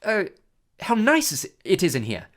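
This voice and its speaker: A narrator voicing a curious, sensible schoolgirl with a brisk, inquisitive manner, in an audiobook story. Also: medium pitch, huge pitch swings, a bit bright, voice pushed up. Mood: careful, polite.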